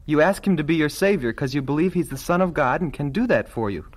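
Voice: mid-range voice, lively intonation, fast talker, natural voice. An actor playing a reluctant, questioning twelve-year-old boy in a vintage radio drama, earnest and defensive.

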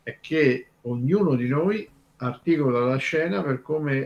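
A man from Terni explains things in an informal radio discussion, halting and discursive, stressing key words. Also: fairly high pitch, expressive, dull audio. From Terni, Italy.

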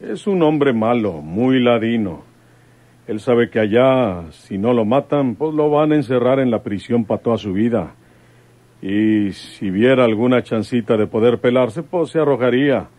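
A man with a Mexican accent playing a gruff older rural police commissioner, plain-spoken and grieving, in an old radionovela. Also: medium pitch, unhurried, darkish tone, no echo, close to an everyday voice. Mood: calm, confiding. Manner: rustic countryside speech.

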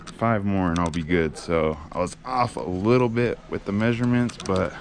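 A man's voice: low voice, moderate pace, vocal fry, a little hiss or hum. A young man vlogging outdoors about his yard work, talking easygoingly to his viewers and walking them through each step. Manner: easygoing, talks to viewers as "guys". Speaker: landscaping vlogger. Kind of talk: casual vlog narration. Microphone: action camera on body. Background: music.

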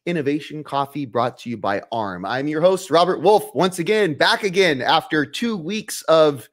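Energetic male streamer host, 30s, nasal from a cold, studio mic, home workshop. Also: fairly high pitch, normal speed. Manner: upbeat, energetic, gestures while talking. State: stuffy nose from a cold. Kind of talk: live stream hosting. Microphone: headphones and studio mic on boom.

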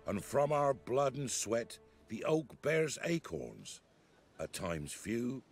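Devout villager with lively, solemn delivery. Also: male voice, mid-range voice, slow pace, faint music behind. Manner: archaic, reverent rustic speech. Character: solemn, devout male village peasant elder. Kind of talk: fantasy video game acting.

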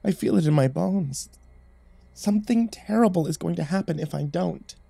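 A man acts out a distraught wife in a horror story, obsessive and desperate. The voice is raised high and swings widely, strained and frantic, hostile one moment and pleading the next. It is recorded dry. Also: very animated, normal speed, dark tone, slightly rough, no echo. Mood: weary insistence. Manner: frantic, strained, emphatic repetition. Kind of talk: dramatic horror story character voice.

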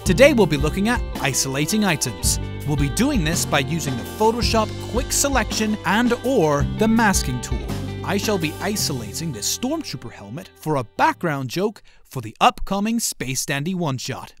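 Lively higher-pitched man narrating a tutorial. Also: normal speed, over music. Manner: instructional, step-by-step. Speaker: tutorial host.

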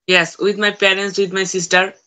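A teenage boy whose first language is Bangla talks haltingly in English on a video call from home, with many fillers and restarts. His voice is bright and edgy, and his pitch barely moves. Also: little pitch movement. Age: teens. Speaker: student learner. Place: room at home. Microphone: phone on a video call.

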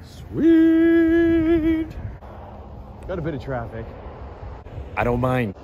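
A man in his thirties talking casually to a handheld camera about his travels, chatty and relaxed, full of fillers. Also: extremely high pitch, drawn-out words, phone-quality sound, background noise. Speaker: travel vlogger. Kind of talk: casual vlog talk to camera. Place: inside an SUV and outdoors by a coastal beach. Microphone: handheld phone or camera at arm's length.

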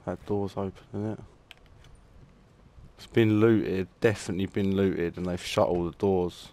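A man's voice: low voice, normal speed. A young man chatting and cheering with friends while playing a shooter game. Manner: excitable, laughing, cheering kills. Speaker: gamer streaming with friends. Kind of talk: casual gaming chat. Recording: noisy game audio behind.

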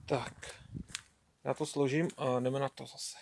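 A man's voice: medium pitch, flat delivery, creaky. A man in his thirties, a truck driver, talking to himself on camera as he unloads at night outdoors. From Czech Republic. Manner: muttering to himself as he works, loose and unhurried. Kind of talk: casual self-narration while working. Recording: loud vehicle and handling noise.

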